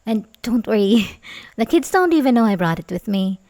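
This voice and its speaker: A woman's voice: a little high, big pitch swings, moderate pace, darkish tone. A young woman acting an ASMR roleplay as a sweet, coaxing, secretly obsessive babysitter, speaking hesitantly and intimately with little giggles. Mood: coaxing, playful.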